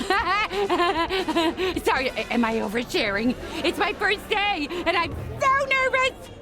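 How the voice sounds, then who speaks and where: A jittery young cartoon assistant. Her high, animated voice chatters anxiously over music and crowd noise. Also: slow pace, heavily acted. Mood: nervous. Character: nervous chatty young female film assistant. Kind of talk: cartoon game acting. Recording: loud crowd and music behind.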